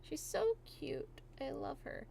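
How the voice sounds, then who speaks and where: High-voiced woman streaming games. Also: expressive, slow pace, vocal fry, warm tone, a little hiss or hum. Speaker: game livestreamer. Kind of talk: casual gameplay chat.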